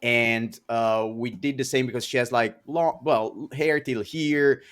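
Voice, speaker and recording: A man in his thirties hosting a podcast in casual chat, speaking haltingly with repeated words and non-native phrasing. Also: mid-range voice, steady tone, a bit bright. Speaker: podcast host.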